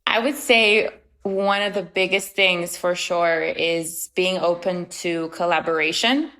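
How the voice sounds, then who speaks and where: A young woman from Romania speaks as a guest on a podcast, answering questions casually and earnestly. She pauses now and then with small fillers as she gathers her thoughts. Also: fairly low pitch, pushed and bright. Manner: earnest, a little hesitant, many fillers.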